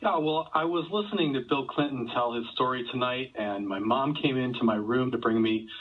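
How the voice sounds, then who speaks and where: A man's voice: medium pitch, normal speed. Man on a distant, tinny phone line. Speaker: phone-in caller. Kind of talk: prank call telling an anecdote.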